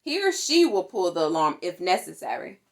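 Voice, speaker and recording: A woman reading a children's safety book aloud in an animated, instructive manner. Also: on the low side, fairly quick. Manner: animated, instructive, acts out sound words. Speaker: storytime reader.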